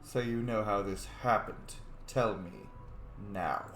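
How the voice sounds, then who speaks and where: Joking young gamer in an echoey room. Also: male voice, lowish voice, takes it slowly, a bit bright, slight background noise. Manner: joking, playful. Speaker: gaming channel host. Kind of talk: casual gameplay commentary.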